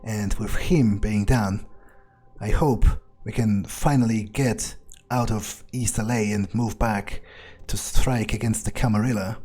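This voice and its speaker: Man roleplaying a mellow, brooding, cynical vampire. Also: on the low side, steady tone, husky. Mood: resolved. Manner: halting, brooding, thinking aloud. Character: cynical, foul-mouthed male vampire musing on power. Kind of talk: improvised in-character game roleplay.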